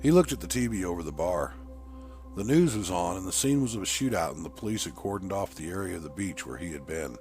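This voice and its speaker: A man narrates fiction in a lowish voice with lively, swinging intonation. The delivery is that of a scripted audiobook reading. Music plays faintly behind him. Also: fast talker. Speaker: story narrator. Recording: music bed under voice. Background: background music.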